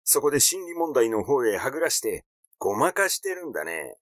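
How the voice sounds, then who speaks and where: A man's voice: medium pitch, sing-song, fairly quick, dry recording, studio quality, lightly acted. A man voicing a blunt, teasing amateur sleuth in an audiobook, talking in a casual, insinuating and mocking way. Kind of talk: audiobook character dialogue.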